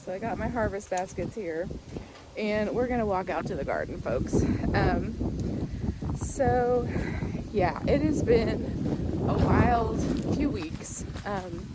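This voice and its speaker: A woman, slightly raspy from a sore throat, chatting casually through a garden vlog while filming outdoors on her phone. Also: medium pitch, lively intonation, takes it slowly, very noisy. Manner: chatty, rambling with many ums. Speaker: vlogger, gardening channel host. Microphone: phone held in hand.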